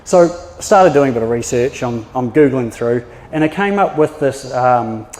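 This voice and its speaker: A man's voice: medium pitch, expressive, moderate pace, darkish tone. A man in his thirties with a New Zealand accent, giving a chatty, anecdotal public talk full of fillers and restarts. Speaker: maritime archaeologist giving a talk. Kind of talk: public presentation. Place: yacht club function room. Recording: echoey hall with long reverb.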